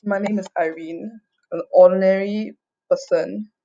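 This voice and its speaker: Young adult female, mid-pitched, distressed confessional vlogger: halting, repetitive, profane, unscripted delivery, dull low-fidelity sound. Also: steady tone, dark tone. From Singapore, raised mostly overseas. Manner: halting, repetitive, sweary, often tags phrases with "okay?". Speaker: vlogger giving a personal account. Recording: dull lo-fi home recording.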